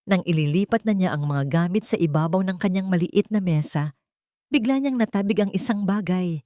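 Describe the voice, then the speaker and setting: A woman narrating a romantic drama story from a script in a measured, dramatic, phrase-by-phrase manner. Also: lowish voice, lively intonation, warm tone, dry recording, clean studio sound.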